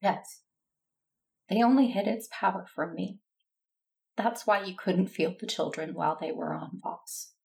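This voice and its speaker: A woman plays a remorseful female seer in a sci-fi audio drama. Her voice has a gravelly edge, and she pleads urgently. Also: on the low side, unhurried, long pauses, a little mellow.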